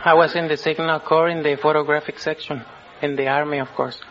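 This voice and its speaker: A man's voice: fairly high pitch, level tone, a little fast, fairly bright tone. Man from Mexico, curt dry contestant, dull vintage radio. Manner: short, dry, matter-of-fact answers. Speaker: quiz show contestant. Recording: muffled old radio broadcast with studio audience.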